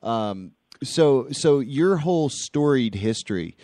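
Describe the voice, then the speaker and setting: A man hosts a casual radio interview, speaking at an everyday pitch. He hesitates often and fills gaps with filler words while praising his guest warmly. His voice comes across dry and close on the mic. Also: lively intonation, no echo. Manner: halting, filler-heavy, effusive. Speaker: radio show host.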